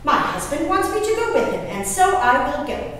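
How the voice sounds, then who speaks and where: A woman playing an excitable, willful young gentlewoman in a dramatic stage monologue, expressive, with emphatic pauses, recorded from far off in an echoey hall. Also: high voice. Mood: defiant, excited. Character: Elizabeth Simcoe, excitable, willful young English gentlewoman diarist. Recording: distant, echoey hall recording, faint noise.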